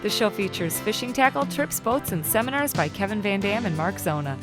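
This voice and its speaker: A woman announcing the sponsors of a television show in a crisp, scripted read. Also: mid-range voice, expressive, a little fast, music in the background.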